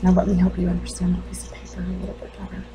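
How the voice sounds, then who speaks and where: A woman playing a grieving, defensive mother in a dramatic monologue, halting and intense. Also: lowish voice, completely flat delivery, background noise, voice pushed up, natural voice. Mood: bitter, accusing. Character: grieving, defensive mother confronting her doctor.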